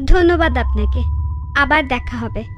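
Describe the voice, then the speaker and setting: A woman playing a young female traveller in an animated horror story. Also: high voice, a little slow, a little hiss or hum. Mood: polite, friendly. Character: young woman traveller, polite and friendly, later defiant captive.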